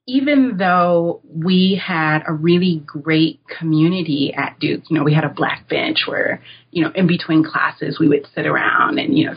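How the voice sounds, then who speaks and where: A woman's voice: low-pitched, husky, highs cut off. An American woman answering interview questions as an academic guest, in a reflective, informal, explanatory way. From United States (North Carolina). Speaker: guest, author and political science academic.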